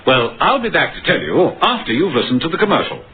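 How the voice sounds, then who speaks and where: An actor playing a stern, probing police inspector in an old radio crime drama, with clipped, theatrical delivery. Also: male voice, on the high side, wildly swooping pitch, fast, very noisy. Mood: confident, explaining. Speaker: lead actor and narrator. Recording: muffled old radio broadcast with music.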